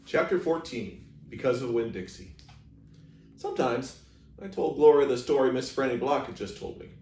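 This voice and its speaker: A man's voice: mid-range voice, warm tone. A man reading aloud as a library storyteller, in a storybook narration style. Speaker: library reader. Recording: echoey room, distant microphone.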